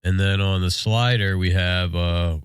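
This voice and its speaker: A man's voice: low-pitched, fairly even intonation, measured pace, clean tone, no room sound, clean studio sound. An American man co-hosting a podcast in casual, laid-back shop talk, sounding congested from a sinus infection. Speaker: podcast co-host.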